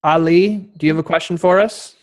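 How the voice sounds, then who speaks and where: A man's voice: fairly high pitch, fairly even intonation, brisk. A man hosting a discussion, informally and politely moderating and thanking participants. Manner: polite, appreciative. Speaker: host, moderator.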